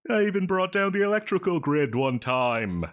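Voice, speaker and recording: A man's voice: sits high, sing-song, moderate pace. A man playing a needy, possessive supervillain in a roleplay audio drama, melodramatic and prone to giggles and stammers. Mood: bitter self-pity. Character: male yandere supervillain, theatrical, needy, possessive, self-pitying, lovestruck.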